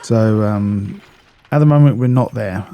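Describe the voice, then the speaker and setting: A man's voice: fairly low pitch, a little slow, dark tone. A man narrating a video game walkthrough in a casual, instructional way, explaining step by step and often repeating or correcting himself.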